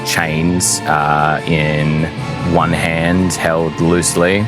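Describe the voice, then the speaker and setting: A man's voice: rumbling low, little pitch movement, extremely slow, loud music behind the voice. A man narrating a fantasy tabletop game as game master, in a descriptive storytelling style.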